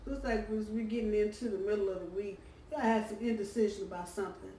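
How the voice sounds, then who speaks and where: A hoarse woman giving a casual weekly tarot reading, chatty and full of ums and uhs. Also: medium pitch, fairly even intonation, fast. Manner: chatty, warm-hearted, lots of ums and uhs. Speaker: tarot reader. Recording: roomy, distant from the mic, faint background hum.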